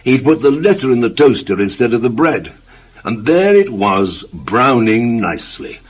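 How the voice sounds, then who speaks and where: A man reads a children's story with very lively, swinging intonation. His mid-pitched voice has a husky grain, and he leans on key words as he addresses the listener. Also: normal speed. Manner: expressive, emphatic, addresses the listener. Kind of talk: scripted children's story narration.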